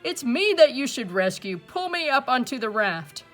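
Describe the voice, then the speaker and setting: A woman's voice: high-pitched, very animated, moderate pace, a bit bright, soft background music, voice pushed up. A woman voicing a selfish, blustering male circus owner with a barking, indignant manner in a storybook. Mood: indignant, bossy.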